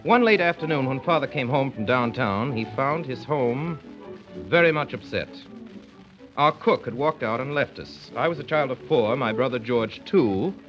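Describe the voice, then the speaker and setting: A man's voice: medium pitch, expressive, moderate pace, music in the background, natural voice. An actor playing a grown son who wryly recalls his childhood household as narrator of a 1930s radio play. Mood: wry reminiscence. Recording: dull vintage 1930s radio broadcast.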